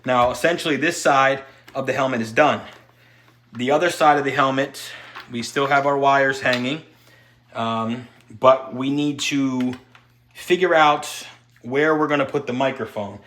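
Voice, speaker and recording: Bright-voiced man giving a hands-on tutorial. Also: mid-range voice, a little slow, a little hiss or hum. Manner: step-by-step, talking while working with hands. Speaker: product reviewer giving installation tutorial. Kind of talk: hands-on how-to demonstration, unscripted.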